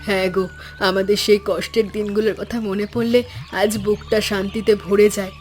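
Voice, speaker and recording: A woman voicing a practical, gentle, hardworking village mother in an animated story. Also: medium pitch, brisk, lightly acted. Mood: content. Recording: voice over background music.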